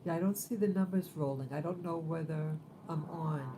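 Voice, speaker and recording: A woman in her fifties hosting a casual livestream, talking in a rambling, halting way with many fillers. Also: sits low, dark tone, a little hiss or hum, low-quality recording. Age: 50s. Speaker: livestream host.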